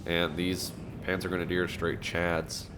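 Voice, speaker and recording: A man commentating a strategy game match, sounding nasal from allergies, reacting excitedly to the action. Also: low-pitched, noisy background. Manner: excitable, fast reactions and laughs. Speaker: gameplay commentator.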